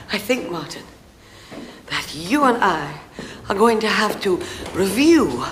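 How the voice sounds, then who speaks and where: A haughty middle-aged stepmother in a film drama. She speaks slowly and imperiously, with big swings of pitch and a cutting edge, in a roomy space over faint music. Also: fairly bright tone, slight background noise, heavily acted. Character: haughty, money-grabbing stepmother and scornful wife.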